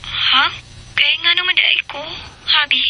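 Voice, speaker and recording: A woman's voice: high-pitched, measured pace, sharp tone, a little hiss or hum, exaggerated voice, poor audio. A woman playing a polite, troubled young wife and mother in a Filipino radio soap. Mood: evasive. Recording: music bed under radio drama.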